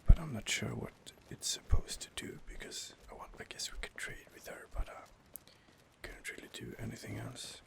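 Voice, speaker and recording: A man giving soft, hesitant, whispered ASMR commentary over gameplay into a close home microphone, pausing often on fillers. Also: mid-range voice, gravelly. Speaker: let's play host.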